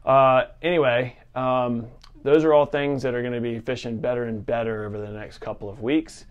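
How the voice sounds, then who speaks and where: A man's voice: mid-range voice, fairly even intonation, normal speed, clear, resonant tone, muffled recording. A man around his late thirties or forties giving a casual, unscripted report in an informal, conversational way, as a shop presenter. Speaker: fly shop forecast presenter. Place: fly fishing shop, indoors. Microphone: lavalier clipped at collar.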